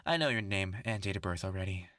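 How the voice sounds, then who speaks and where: A vengeful male test subject playing doctor in a horror roleplay, acted by a man, with a sharp, animated voice. He is commanding and sardonic, in a mock-clinical bedside manner, recorded close and dry. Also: low voice, quick pace, dry recording. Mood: mock-clinical menace.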